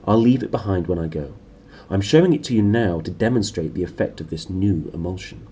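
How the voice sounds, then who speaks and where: Storybook role: composed gentleman lecturer, played by a male reader, dark tone, measured, assured delivery. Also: low-pitched. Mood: calm, assured. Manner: calm, measured. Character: composed, authoritative gentleman explorer lecturing scholars. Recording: clearly noisy room recording.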